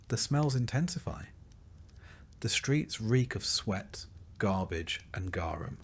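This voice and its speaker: A man's voice: on the low side, slightly rough. A man narrating a scripted story in the second person with a dry, wry delivery.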